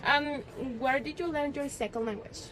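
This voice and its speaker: A woman interviewer asking short questions with quick acknowledgments. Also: high voice, crowd noise. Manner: quick acknowledgments, laughing easily. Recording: music playing behind.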